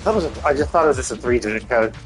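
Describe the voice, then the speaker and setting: A man's voice: medium pitch, lively intonation, racing, soft background music. A man giving rambling, critical live commentary as he plays, repeating himself for emphasis. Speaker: game streamer. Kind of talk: casual live game commentary. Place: live stream, game music behind.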